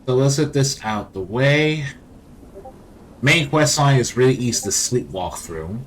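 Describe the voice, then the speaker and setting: A man's voice: mid-range voice, animated, a little slow. Man rambling casually over faint noise. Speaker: gaming video host. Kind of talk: casual game commentary.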